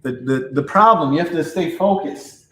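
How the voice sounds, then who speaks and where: A man's voice: fairly high pitch, moderate pace, sharp tone, roomy sound, a little hiss or hum. A man hosting a casual reaction chat, talking bluntly and teasing his co-host. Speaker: podcast host.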